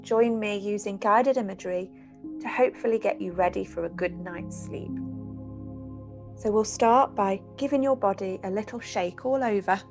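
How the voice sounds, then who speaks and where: Soothing woman leading guided relaxation over music. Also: medium pitch. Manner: soothing, gentle, unhurried instruction with pauses. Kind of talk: guided imagery meditation for children. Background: music and rain sounds.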